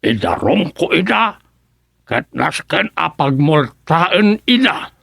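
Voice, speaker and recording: A man with an Ilocano Filipino accent playing an aggrieved, gravelly villager in a radio comedy drama. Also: on the high side, sing-song, slow pace, fairly bright tone, dry recording, clean studio sound, exaggerated voice.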